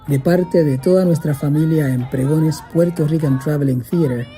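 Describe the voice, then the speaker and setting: Low, dark-voiced woman announcer. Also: brisk, soft background music. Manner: warm formal welcome, switching between Spanish and English. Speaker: theater company host. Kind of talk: scripted announcement.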